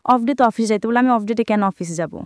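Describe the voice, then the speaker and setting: A woman's voice: a little high, racing, dry recording, studio quality. A young woman with a Bangladeshi accent telling her own life story conversationally, relaying remembered dialogue as she goes. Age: young adult.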